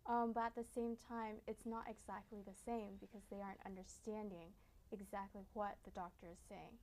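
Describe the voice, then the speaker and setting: A woman guest on a talk show, calmly explaining in measured sentences. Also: medium pitch, a little hiss or hum, low-quality recording.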